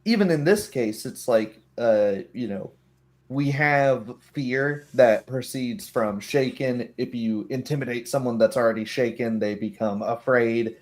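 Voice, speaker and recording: A man chatting casually about tabletop game rules in an explanatory way, sometimes voicing short hypothetical remarks. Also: medium pitch.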